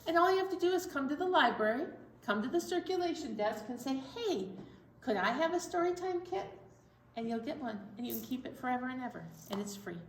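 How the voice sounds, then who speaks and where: A woman's voice: high-pitched, quick pace. A woman hosting storytime, chatting casually and encouragingly to young children. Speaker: children's library storytime host. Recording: echoey, distant, noisy room.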